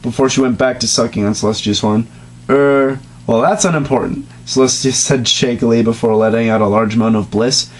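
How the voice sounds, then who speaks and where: A man giving a comedic dramatic reading of a written story, mostly deadpan and now and then cracking into high laughter. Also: a little fast. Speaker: dramatic reader.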